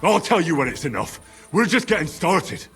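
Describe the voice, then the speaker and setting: A man's voice: on the high side, very animated, moderate pace, a bit bright, slightly rough, exaggerated voice. An actor playing a grim, vengeful young swordsman in a video game, blunt and threatening. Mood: vengeful threat. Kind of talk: dramatic video game acting. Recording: music underneath the dialogue.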